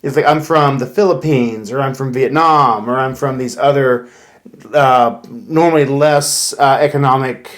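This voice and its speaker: An American man co-hosting a casual chat podcast, explaining things in a halting, conversational way. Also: mid-range voice. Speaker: podcast co-host.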